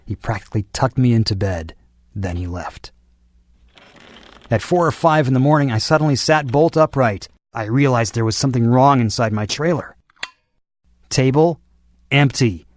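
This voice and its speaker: A man's voice: mid-range voice, moderate pace, pauses often, no echo, natural voice. A man playing an anxious, weary carnival man in an audio drama, hesitant and earnest, pleading and protective in manner. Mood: haunted narration.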